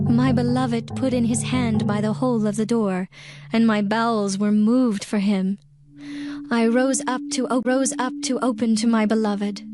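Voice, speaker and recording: A woman playing a yearning, lovesick young bride in a dramatized scripture reading, with tender, measured delivery. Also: medium pitch, close to an everyday voice. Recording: music under the voice.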